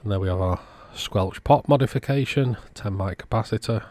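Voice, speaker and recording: A man with a fairly low voice narrates in a casual way, like a technician walking viewers through bench work. His delivery is informal and explanatory. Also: moderate pace, darkish tone, dry recording. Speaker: video host, radio repair technician. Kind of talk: casual narrated repair commentary.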